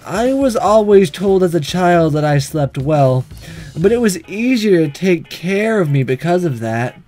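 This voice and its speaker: A man's voice: high-pitched, lively intonation, a little slow, darkish tone, soft background music. Man reading as a sleepy, blunt schoolgirl, lilting delivery. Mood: weary, resigned. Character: sleepy, detached schoolgirl narrating about her friends. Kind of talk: visual novel read-aloud narration.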